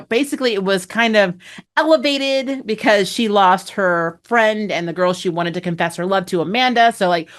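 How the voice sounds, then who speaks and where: Female fan-review host, medium pitch. Casual, slangy, opinionated recap style, openly gushing and chatty. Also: fairly bright tone.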